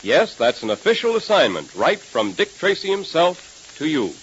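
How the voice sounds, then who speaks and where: A man announcing a 1930s radio show and pitching its sponsor with emphatic delivery. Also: big pitch swings, moderate pace, a bit bright, husky. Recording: muffled, hissy 1930s radio recording.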